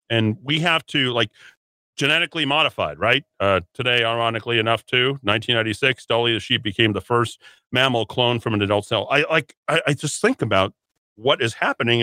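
Combative, bright-voiced talk radio host. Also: male voice, mid-range voice, no echo. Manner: combative, rambling, repeats words and restarts. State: sniffling with a runny nose. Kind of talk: solo talk radio monologue. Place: radio studio.

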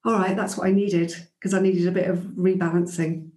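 A woman's voice: lowish voice, flat delivery, fairly quick, warm tone, reverberant. A woman guest in an interview, reflecting thoughtfully on her teaching days, with frequent ums and restarts. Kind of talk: reflective conversational storytelling.

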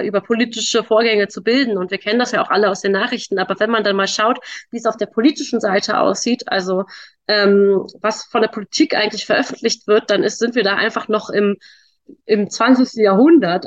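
A woman's voice: mid-range voice, dry recording. A young woman from Berlin, a campaigner for government transparency, answering interview questions with many fillers and restarts. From Berlin, Germany. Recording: dry, dull lo-fi sound.

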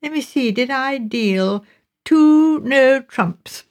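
A woman's voice: high voice, lively intonation, takes it slowly, pitched above the performer's own voice. A woman voicing an affected, self-absorbed middle-aged English mother making languid, condescending small talk in an audiobook. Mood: amused, dismissive.

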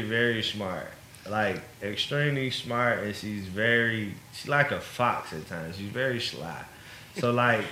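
A man's voice: medium pitch, bright, forceful tone, echoey room, a little hiss or hum. A man speaking bluntly and casually about discipline, laughing between points. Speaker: father in a couple interview.

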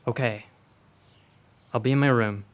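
A man's voice: medium pitch, steady tone, a little slow, close to an everyday voice. A young man acting a guarded son in a radio drama. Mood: sullen.

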